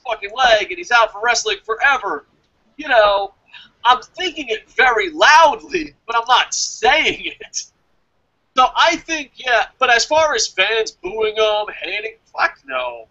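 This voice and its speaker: A man's voice: very high-pitched, a little slow, bright, forceful tone, lo-fi sound. A man chatting casually as a review show host, opinionated and emphatic, swearing freely and rambling as he goes.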